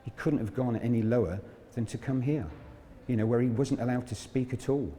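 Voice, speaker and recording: A man's voice: mid-range voice, a little fast, dark tone. A man giving an informal, explanatory history talk as a guide. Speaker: prison guide. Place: inside a large reverberant prison building. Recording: long echo, faint background noise.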